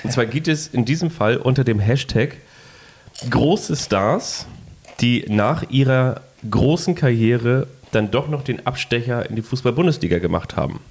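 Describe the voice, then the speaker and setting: A man's voice: medium pitch, lively intonation, moderate pace, a little mellow, slight background noise. A man co-hosting a casual football podcast, playfully reading out quiz clues and joking with his partner. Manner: playful, reads quiz clues in first person with puns. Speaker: podcast co-host.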